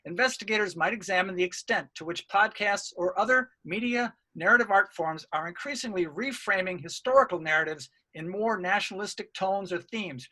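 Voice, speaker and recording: High, bright-voiced man presenting research. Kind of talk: scripted academic presentation, read aloud.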